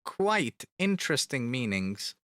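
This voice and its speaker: A man's voice: mid-range voice, sing-song, takes it slowly, fairly bright tone, no echo. A man chatting casually on a live stream, reading fan questions aloud and answering them, repeating words for emphasis. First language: Finnish. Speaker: band member streaming to fans.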